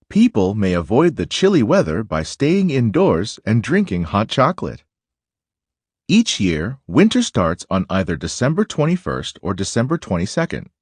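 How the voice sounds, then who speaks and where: A man narrating a children's nonfiction book aloud in a deliberate, instructive way. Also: fairly low pitch, slightly rough, dry recording.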